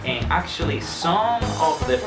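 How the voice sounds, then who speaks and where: Man, young adult, casual vlog to camera, opinionated, roomy recording, loud background music. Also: big pitch swings, measured pace. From Venezuela. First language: Spanish. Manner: chatty, opinionated, swoops up in pitch for emphasis. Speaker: vlogger and former English teacher.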